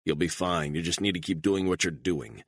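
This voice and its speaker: A man voicing a calm, menacing gunman who gives clipped, flat threats. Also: low voice, normal speed, dry recording. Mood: menacing, cold.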